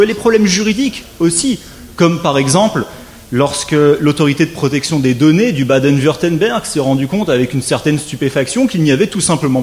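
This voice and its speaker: A man, a legal scholar, giving a formal conference lecture, measured with wry asides and rising emphasis on key points. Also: a little high, darkish tone.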